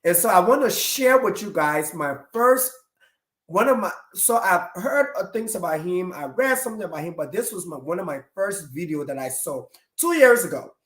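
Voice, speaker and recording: Congested nasal guy hosting a talk show, bit high voice, echoey room. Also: animated, moderate pace, fairly bright tone. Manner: upbeat, repetitive. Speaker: host. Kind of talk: casual talk show opening. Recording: roomy echo.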